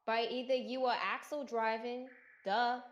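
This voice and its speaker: A blunt, impatient, reckless youngster in a radio drama, played by a teenage woman. The delivery is slow and slightly high, in an echoey room. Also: steady tone, muffled recording, natural voice. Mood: sarcastic, insistent. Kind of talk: radio theatre acting. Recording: echoey room, faint noise.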